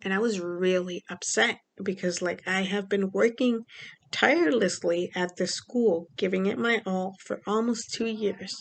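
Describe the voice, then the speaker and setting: A young woman from Mexico speaks in a loose, rambling vlog style, leaning on fillers as she goes. Her mid-pitched voice carries a steady vocal fry. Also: unhurried. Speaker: vlogger. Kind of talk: casual personal vlog.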